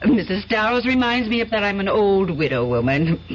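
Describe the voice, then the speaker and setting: Actress doing a fluttery old widowed aunt. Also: female voice, mid-range voice, sing-song, moderate pace, slight background noise, close to an everyday voice. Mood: effusive. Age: older. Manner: fluttery, effusive, sociable. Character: chatty older widowed aunt, sociable, fussy. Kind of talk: 1970s radio drama acting. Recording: dull lo-fi old radio broadcast.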